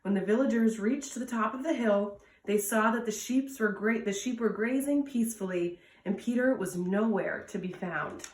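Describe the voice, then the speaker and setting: A woman's voice: a little high, moderate pace, few pauses, a little mellow. A woman reading a children's story aloud as a storytime reader, recorded indoors at home. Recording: roomy echo, distant from mic.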